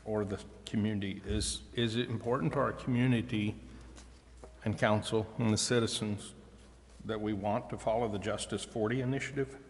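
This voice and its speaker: A man speaking as a council member at a public meeting, in halting, formal phrases. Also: lowish voice, fairly even intonation. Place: indoor city council chamber. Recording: echoey council chamber with faint noise.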